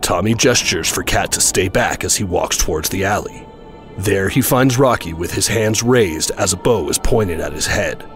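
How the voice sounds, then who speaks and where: A man with a fairly low voice narrates an action audio drama from a script. Loud dramatic music plays behind him. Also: animated, normal speed. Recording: voice over loud trailer music.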